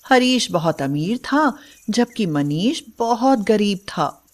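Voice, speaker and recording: A woman's voice: medium pitch, expressive, slow pace. A woman narrating a scripted story as its storyteller.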